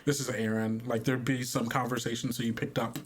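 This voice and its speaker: A man running a tabletop roleplaying game as game master, narrating in a halting, conversational way with pauses. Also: medium pitch, flat delivery.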